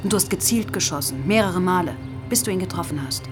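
Low, cool, defiant woman on the run, acted. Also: animated, quick pace, over music, natural voice. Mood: cool, confronting. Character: hardened, defiant woman on the run, former captive. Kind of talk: German crime radio drama acting.

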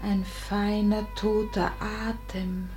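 An actress playing a grieving, tender mother's spirit in a 1940s German radio verse drama, wistful, hushed and lingering. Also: medium pitch, level tone, slow pace, close to an everyday voice. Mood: wistful sorrow. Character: grieving, weary mother's ghost, tender and reminiscing. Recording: 1949 radio recording. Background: violin music.

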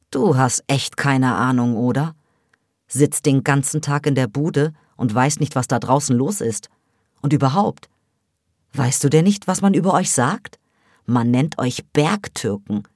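A woman's voice: rumbling low, a little slow, darkish tone, no echo, clean studio sound. Woman voicing a scornful teenage girl, mocking. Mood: scolding. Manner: mocking, gossipy, scolding. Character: scornful teenage girl, sharp-tongued smoker.

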